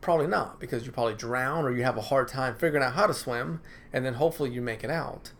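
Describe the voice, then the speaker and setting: Gravelly-voiced male counselor, casual. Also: mid-range voice, quick pace, a bit bright. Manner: conversational, repeats phrases, asks "right?" often. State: dry throat, clearing it. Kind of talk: informal talk to camera. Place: counseling office.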